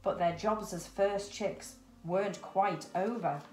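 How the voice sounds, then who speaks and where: A woman's voice: medium pitch, takes it slowly, darkish tone, faint music behind. A middle-aged woman reading a children's story expressively. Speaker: storybook reader. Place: indoors, small room with cupboards. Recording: roomy echo.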